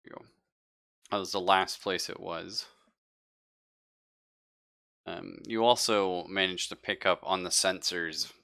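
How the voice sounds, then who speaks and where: A man narrating a live tabletop roleplaying game as game master, in a halting, conversational way. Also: sits low, steady tone, gravelly, bright, forceful tone.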